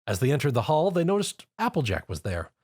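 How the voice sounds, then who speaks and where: A man narrates a scripted story. His voice has a medium pitch. His delivery is animated, with plenty of rise and fall. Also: fast talker, breathy edge, no echo, studio quality.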